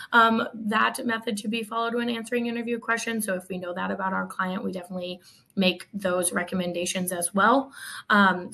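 A woman's voice: on the low side, creaky, sharp tone. A woman speaking conversationally as a recruiter guest, offering practical advice.

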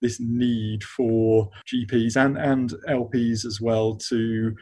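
A man's voice: medium pitch, level tone, slow, a little mellow, no echo. A man giving unscripted expert answers as a finance podcast guest, hesitating and repeating words as he thinks.

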